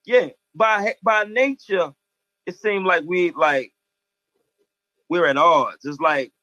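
A man's voice: high voice, takes it slowly, sharp tone, no echo. A man in casual chat, telling a story in a loose, conversational way. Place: studio in Baltimore. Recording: dull lo-fi sound, dry.

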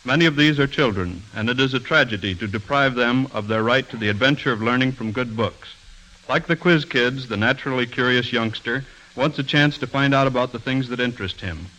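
A man's voice: mid-range voice, fairly quick, sharp tone, poor audio. An American man earnestly reading a formal, scripted 1940s radio appeal as a guest speaker, on a vintage broadcast. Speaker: guest speaker for a library association.

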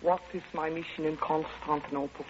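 A woman's voice: deep voice, flat delivery, brisk, natural voice. A woman playing an earnest, stern Soviet envoy in an old-time radio drama, on a muffled, noisy recording. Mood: dutiful.

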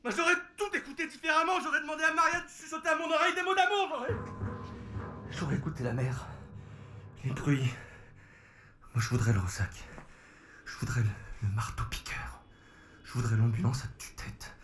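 A man's voice: very high voice, big pitch swings, fairly quick, long pauses, bright, forceful tone, heavily acted. An actor playing a frantic man obsessed with a noise, speaking insistently and repeating himself in an audio drama. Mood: rambling, obsessed. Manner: agitated, insistent repetition. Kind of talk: French audio fiction acting.

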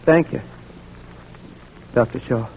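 An actor in an old-time radio drama playing a young captive soldier, polite and hesitant but stubbornly loyal. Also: male voice, medium pitch, slow pace. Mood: guarded, pleading. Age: young adult. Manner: hesitant, deferential. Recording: noisy old radio recording.

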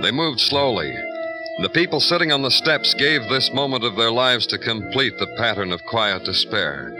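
A very low male voice with clear music behind it. An actor plays a world-weary police detective in an old radio noir, brooding in narration and clipped, dry and probing in dialogue. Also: close to an everyday voice, rough recording.

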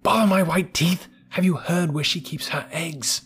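A man voicing a small, brave male mongoose with a husky voice, talking sharply and urgently. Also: fairly high pitch, moderate pace, fairly bright tone, pitched above the performer's own voice. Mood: impatient, urgent.

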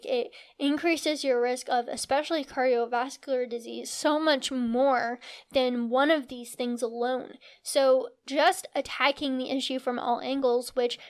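Earnest high-voiced woman podcast host. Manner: earnest, encouraging explainer. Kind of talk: educational health podcast monologue.

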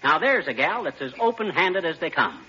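An American man playing a brash, folksy middle-aged husband and fast-talking schemer in 1940s radio comedy style. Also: on the high side, sing-song, pushed and bright, husky, heavily acted. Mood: jovial, wheedling. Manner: folksy, slangy, swooping delivery. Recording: dull, noisy old 1940s radio recording.

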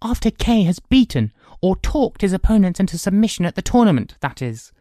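A woman's voice: mid-range voice, big pitch swings, brisk, warm tone, no room sound, studio quality, close to an everyday voice. A woman voicing a modest, self-doubting boy in a storybook drama, earnest and hesitant. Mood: firm, uneasy.

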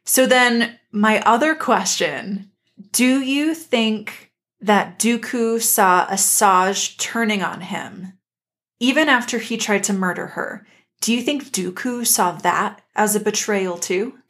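A woman's voice: medium pitch, slow pace, a bit bright. A woman co-hosting a podcast, casually discussing a series in a reflective, inquisitive way. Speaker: podcast co-host.

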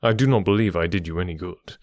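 A man voicing an earnest gentleman who gently lectures, in a lower, measured tone for audiobook dialogue. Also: no echo, clean studio sound, close to an everyday voice.

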